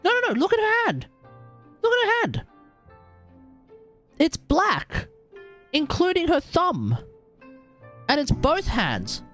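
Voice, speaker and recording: A woman streamer giving casual gameplay commentary, excitable and prone to repeating herself. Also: very high voice, takes it slowly, pauses often, soft background music.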